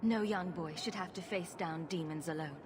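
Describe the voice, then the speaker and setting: Woman playing low-voiced, bold adventurer. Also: moderate pace, noisy background, lightly acted. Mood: cool, direct. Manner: direct, dry. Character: cool, bold female adventurer for hire. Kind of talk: video game dialogue acting. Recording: game audio with music behind.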